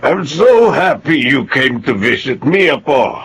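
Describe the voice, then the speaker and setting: An actor playing a kindly elderly grandfather, gravelly, affectionate and deliberate, in a drama. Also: mid-range voice, very animated. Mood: affectionate concerned. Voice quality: gravelly, creaky.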